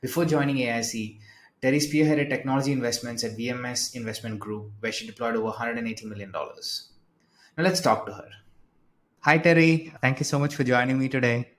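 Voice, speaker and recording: A man's voice: mid-range voice, fairly quick, roomy sound. A man hosting an investment interview show, reading a formal guest introduction and then asking questions in a friendly way. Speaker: podcast host.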